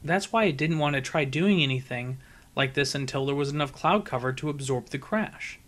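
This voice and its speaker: A man's voice: medium pitch, moderate pace, natural voice. Man voicing a cocky young female pegasus flier. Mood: matter-of-fact, confident. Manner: cocky, excitable, emphatic. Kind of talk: fan fiction audiobook character voice.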